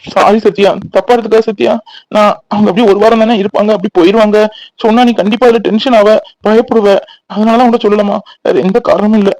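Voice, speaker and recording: A man's voice: high-pitched, steady tone, quick pace, a little mellow, no echo, poor audio. A man voicing an anxious young husband who apologizes to his wife and reassures her. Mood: apologetic. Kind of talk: Tamil domestic audio drama.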